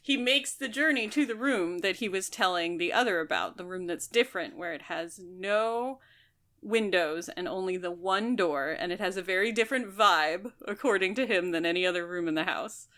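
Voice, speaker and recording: A woman's voice: lowish voice, normal speed, a bit bright. A woman co-hosting a podcast, chatting casually as she talks through a book. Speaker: podcast co-host.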